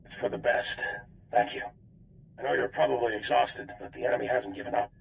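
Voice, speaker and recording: An actor playing a pragmatic, earnest intelligence commander with a gravelly voice, briefing agents in a video game. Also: male voice, mid-range voice, fairly even intonation, brisk, a bit bright, slight background noise. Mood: grave urgency. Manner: earnest, businesslike. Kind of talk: dramatic video game acting.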